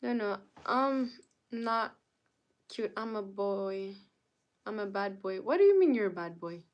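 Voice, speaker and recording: A woman's voice: mid-range voice, animated, measured pace, muffled recording. A young woman from Canada hosting a casual live stream, greeting viewers by name and answering their chat comments. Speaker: livestreamer.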